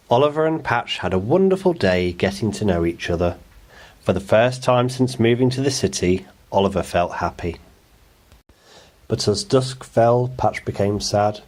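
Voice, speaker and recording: A man narrates a children's picture book for bedtime. His voice sits a little low, in a storytelling delivery made for young listeners. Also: a little mellow.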